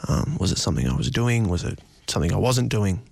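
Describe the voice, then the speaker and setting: A man's voice: deep voice, big pitch swings, quick pace, creaky, a little mellow, breathy edge, dry recording, clean studio sound. A man in his twenties being interviewed, speaking personally in a hesitant, reflective way. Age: 20s.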